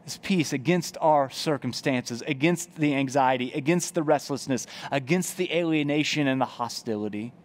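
A man's voice: medium pitch, moderate pace, hardly any pauses. A man in his forties, a pastor, preaching an earnest sermon in a church chapel on a headset mic. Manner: earnest, gestures broadly while preaching. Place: church chapel, indoors, before brick wall.

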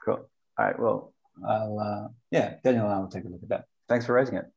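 A man with a lower voice chairing an online meeting. He keeps things loose and informal, moving from one item to the next with easy filler words. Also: steady tone, fairly quick, vocal fry, darkish tone, husky, highs cut off. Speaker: meeting host. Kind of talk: casual meeting chat. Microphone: video call.

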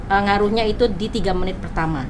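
A woman, chatting casually as a podcast guest and telling things in an animated, expressive way. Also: medium pitch, fast, background noise. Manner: animated storytelling with sound words and acted quotes. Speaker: content creator, interview guest. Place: small podcast studio, indoors. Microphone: boom-arm studio microphone, headphones on.

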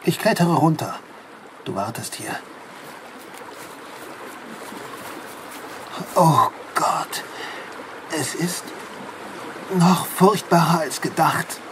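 A man's voice: fairly high pitch, expressive, slow pace, pauses often. An actor playing an uneasy workingman in a horror audio drama, speaking in a tense, dramatic way. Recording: noticeable background noise.

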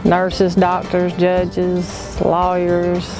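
Woman, Southern US accent, plainspoken interview, flat intonation, music behind. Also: lowish voice, very slow. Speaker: family auction worker. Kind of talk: documentary interview. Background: background music.

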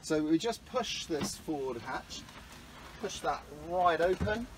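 Easygoing middle-aged skipper showing a yacht. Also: male voice, fairly high pitch, background noise. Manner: easygoing, practical, explaining step by step. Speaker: sailing school skipper giving a boat tour. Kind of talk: friendly walkthrough demonstration. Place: on and inside a small sailing yacht, outdoors then in the cabin. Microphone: handheld phone camera.

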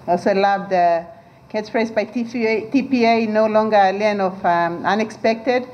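A woman from Papua New Guinea giving casual interview remarks, thoughtful and pausing with fillers. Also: medium pitch, highs cut off.

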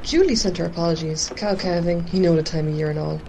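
A woman's voice: on the low side, quick pace, warm tone, slight background noise, natural voice, low-quality recording. A woman playing a dutiful, chatty Irish village committee secretary in an amateur radio drama.

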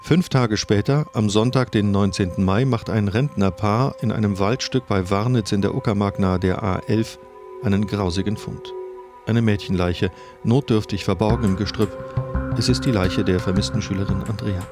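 A man reading a scripted true-crime narration in a measured, deliberate way. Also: fairly low pitch, fairly even intonation, music in the background.

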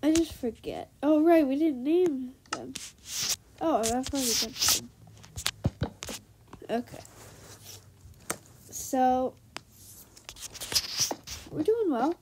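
Sick girl w a stuffy nasal voice, high pitched, slow chatty gaming talk, noisy mic. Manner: chatty, easily excited, talks to viewers. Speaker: Minecraft let's player. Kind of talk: casual gameplay commentary.

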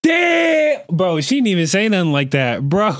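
A man's voice: high-pitched, very animated, takes it slowly, no echo, studio quality. A young man streaming himself reacting to a video, joking and teasing in casual commentary with mock apologies, talking into a desk mic with a headset in a room at home. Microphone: desk mic on a boom arm with headset.

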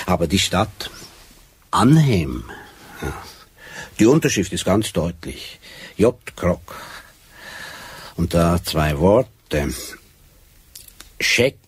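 An actor playing a shrewd, brooding police sergeant in a radio drama, terse and musing. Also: male voice, low-pitched, animated, extremely slow, pauses often, breathy edge, no echo, full character voice. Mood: probing, musing. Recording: slightly noisy radio play.

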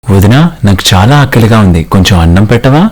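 Man acting a low-voiced, mild young man. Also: quick pace, darkish tone, lightly acted. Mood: pleading. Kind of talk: cartoon moral-story dialogue.

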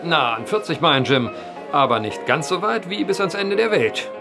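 A teasing gambler in an audio drama, unyielding and wry. His voice is a little high and moves in lively, mocking swings. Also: moderate pace, over music. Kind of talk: German audio drama acting.

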